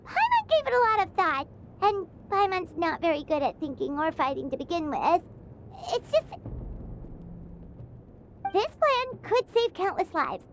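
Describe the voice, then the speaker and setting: A woman voicing a small, childlike fairy companion in a video game, excitable, earnest and loyal, with a squeaky voice. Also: a little slow, long pauses, slight background noise, full character voice, low-quality recording. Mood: worried. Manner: speaks of herself in the third person, exclamatory. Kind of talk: video game character acting. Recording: game music behind the voice.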